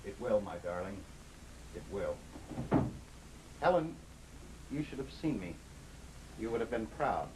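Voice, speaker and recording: A slightly noisy old radio recording of a troubled gambling husband. The voice is on the low side, earnest and halting, with guilt-ridden melodrama in the delivery. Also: male voice, sing-song. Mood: confessional, sorrowful. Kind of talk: old-time radio drama acting.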